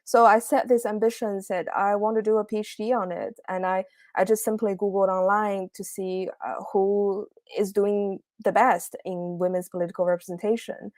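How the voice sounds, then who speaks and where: A woman's voice: mid-range voice, darkish tone, no echo. A young woman from China, a PhD researcher, giving a livestreamed academic talk on a video call, hesitant with many fillers and restarts. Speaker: guest speaker, PhD researcher.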